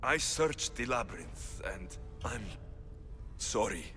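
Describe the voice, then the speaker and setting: An actor playing a steady mercenary hero who speaks calmly and bluntly in a game cutscene. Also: male voice, mid-range voice, takes it slowly, soft background music, exaggerated voice. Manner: calm, blunt. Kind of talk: video game cutscene acting.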